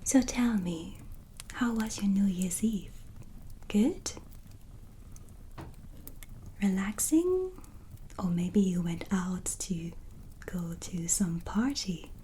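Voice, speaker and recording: A woman playing a gentle, calm witch hostess in a soft-spoken, whispered ASMR roleplay, soothing and intimate as if speaking to a guest. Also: medium pitch, a little hiss or hum. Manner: slow, soothing, intimate, addressing the listener as guest. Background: soft ambience.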